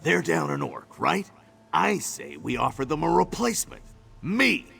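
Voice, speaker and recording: A gravelly boastful orc. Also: male voice, a little high, very animated, measured pace, a bit bright, full character voice. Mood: gruff. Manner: boisterous, teasing, coarse. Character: gruff boastful male orc ally. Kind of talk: video game voice acting.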